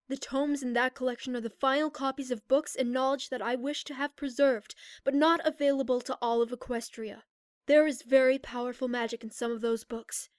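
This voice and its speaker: Woman acting regal, high-voiced pony princess. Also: no room sound, clean studio sound, natural voice. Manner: formal, measured, composed. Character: regal, grave adult female alicorn pony princess. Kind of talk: scripted audio drama acting.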